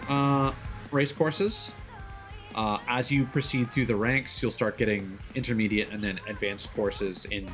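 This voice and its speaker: A man giving casual, explanatory livestream commentary on a video game, thinking aloud with hesitant pauses. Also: medium pitch. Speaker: video game live streamer. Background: music behind the voice.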